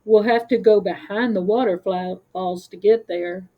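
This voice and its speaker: A woman voicing a wise, relaxed father sloth in a storybook, with a gentle, unhurried murmur. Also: mid-range voice, highs cut off, lightly acted. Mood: wise, calm.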